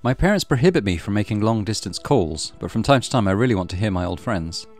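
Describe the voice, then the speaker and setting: A man reading a visual novel aloud as a let's play host, with expressive storytelling and casual commentary. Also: fairly low pitch, quick pace. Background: soft game music.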